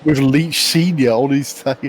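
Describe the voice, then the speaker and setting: A man giving casual, analytical live commentary on a sim race, explaining things in a measured way. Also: medium pitch. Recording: faint noise behind voice.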